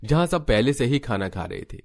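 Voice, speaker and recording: A man's voice: medium pitch, animated, fairly quick, gravelly, a little mellow. A man narrating a scripted cartoon family story.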